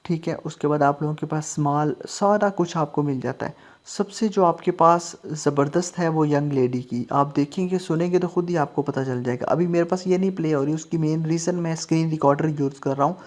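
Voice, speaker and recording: A man's voice: fairly high pitch, a little fast, a little mellow. A man hosting a casual tech tutorial, explaining steps in an instructional way with repeated check-in phrases. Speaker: host of a tech tutorial channel. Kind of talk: casual app tutorial narration.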